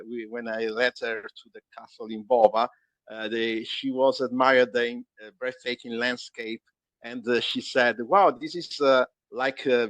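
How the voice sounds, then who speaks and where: Halting Italian-accented man, dry close sound. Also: medium pitch, a bit bright, no room sound, rough recording. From Calabria, Italy. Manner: halting, searching for words, restarting sentences. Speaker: tour guide being interviewed. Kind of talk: conversational anecdotes in an interview.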